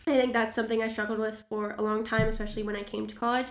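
A young woman chats casually in an echoey room. Her voice is a little high but very level in pitch, with noticeable vocal fry. Her phrasing is informal and halting. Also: flat delivery. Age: young adult. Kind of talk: casual chat.

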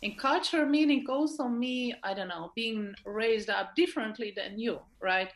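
A woman's voice: on the high side, normal speed. Woman with a Slovenian accent, conversational. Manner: informal, often seeks agreement with tag questions. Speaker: interview guest, leadership expert. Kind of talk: conversational interview answers.

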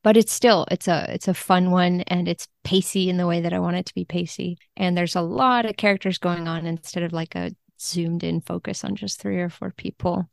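A woman's voice: fairly low pitch, fairly even intonation, a little fast, vocal fry, hardly any pauses, no room sound, clean studio sound. A woman talking casually and enthusiastically as a guest on a podcast. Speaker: podcast guest, book translator. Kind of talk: casual chat.

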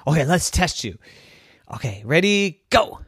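A man voicing a skeptical, pushy school friend in a bedtime story, in a higher, lively voice. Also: unhurried, slightly rough, no room sound, clean studio sound, heavily acted. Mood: challenging. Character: doubting schoolgirl friend, challenging.

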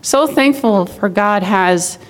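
A woman's voice: medium pitch, takes it slowly, in a large hall. A woman sharing her testimony in church, earnest in tone.

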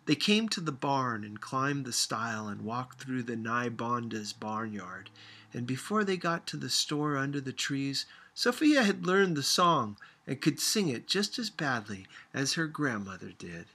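A man reading a literary story aloud as an audiobook narrator, expressive and dramatic in his delivery. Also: medium pitch, a little slow, breathy edge. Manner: dramatic, acts out dialogue. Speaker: audiobook reader.

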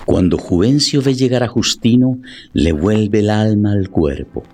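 A man narrating a literary drama in a solemn, deliberate way, with music behind his voice. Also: fairly low pitch, a little mellow.

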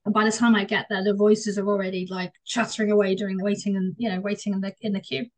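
A woman's voice: mid-range voice, little pitch movement, quick pace, poor audio. A woman co-hosting a podcast, talking enthusiastically and rambling about her writing plans. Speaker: co-host and author. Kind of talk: casual interview chat.

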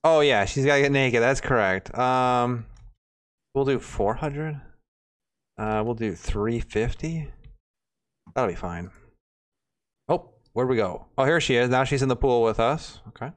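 A man in his twenties hosts a livestream in a smooth, clean voice of middling pitch. He talks casually and likes to tease, keeping things informal and conversational. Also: unhurried, pauses often. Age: 20s. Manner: teasing, informal. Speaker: show host.

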